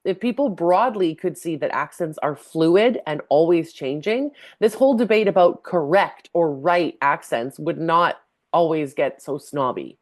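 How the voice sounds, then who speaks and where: Low-voiced Canadian woman chatting. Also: a little mellow. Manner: chatty, laughs often. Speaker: podcast co-host, language teacher. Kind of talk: casual chat.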